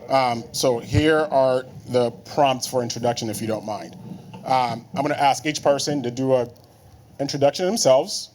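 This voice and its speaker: A man from Nigeria, in his thirties, moderating a conference panel in a relaxed way with many fillers. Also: mid-range voice, moderate pace, a little hiss or hum. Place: conference room.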